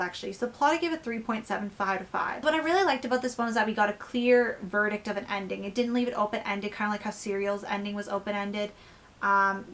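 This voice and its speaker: A woman's voice: mid-range voice, fairly bright tone. A young woman reviewing a book in a casual vlog, talking chattily with many fillers while she is losing her voice. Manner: chatty, lots of "like" and "um".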